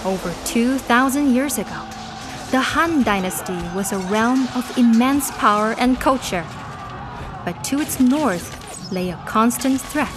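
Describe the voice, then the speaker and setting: Female storyteller for scripted epic narration, raised pitch, solemn delivery, prominent music bed.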